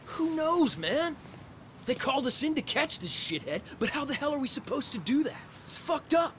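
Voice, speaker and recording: An actor playing a whiny, trigger-happy young thug in a dubbed video game, cocky, profane and complaining. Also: male voice, extremely high pitch, sing-song, moderate pace, fairly bright tone, a little hiss or hum, heavily acted. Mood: griping. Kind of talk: English dub video game cutscene acting.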